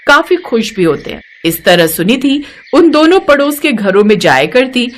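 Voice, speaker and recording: A woman's voice: on the high side, big pitch swings, normal speed, a little hiss or hum. A woman storyteller giving scripted narration of a moral tale.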